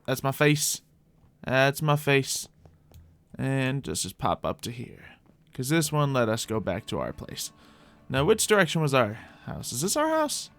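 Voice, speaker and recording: A man's voice: medium pitch, bright, forceful tone, clear, resonant tone. Rambling male gamer over music. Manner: rambling, repeats his own phrases. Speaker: host of a Minecraft roleplay video. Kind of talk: casual gameplay commentary, talking to viewers in light roleplay.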